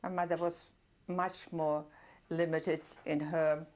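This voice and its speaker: An older woman whose first language is German, giving a reflective oral history testimony with many pauses and soft laughs. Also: sits low, flat delivery. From Czernowitz, Bukovina. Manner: reflective, pausing often with fillers, soft laughs. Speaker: interviewee, Holocaust survivor.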